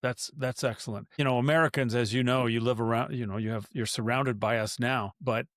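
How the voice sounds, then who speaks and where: A man hosting a podcast interview at a middle pitch. He rambles and restarts his sentences as he talks. The sound is dry and close, with no room echo. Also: steady tone, fairly quick, a bit bright. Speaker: podcast host.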